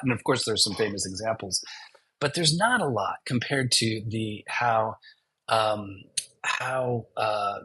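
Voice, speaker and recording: A man in his fifties, a documentary director, answering interview questions haltingly with many restarts and fillers. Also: lowish voice, bright, forceful tone, a little hiss or hum, poor audio.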